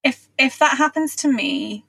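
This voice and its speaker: A woman's voice: high voice, animated, a little slow, gravelly, fairly bright tone, slightly rough, no echo. A woman guest author explaining her writing habits in a friendly, casual way, laughing mid-sentence. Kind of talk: casual interview chat.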